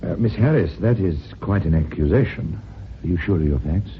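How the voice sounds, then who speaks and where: An actor playing a measured, courteous, skeptical doctor who investigates in an old-time radio mystery. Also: male voice, low voice, expressive. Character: measured, courteous, doubting male doctor-sleuth. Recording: muffled phone-like old radio, noisy.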